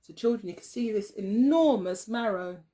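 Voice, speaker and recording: A woman's voice: mid-range voice, lively intonation, normal speed, dark tone. A woman teacher telling a story expressively to young children, phrasing carefully and putting questions to them. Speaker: early years teacher, storyteller.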